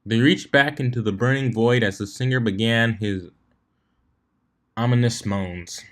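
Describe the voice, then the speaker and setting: A man's voice: medium pitch, a little slow, a bit bright. Man narrating a story, amateur read-aloud. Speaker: fan fiction reader, narrator.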